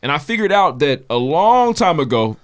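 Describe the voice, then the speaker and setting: A man's voice: fairly high pitch, big pitch swings, normal speed. A man co-hosting a podcast, telling a news story in loose slang with plenty of swearing. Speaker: podcast co-host. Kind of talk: casual chat.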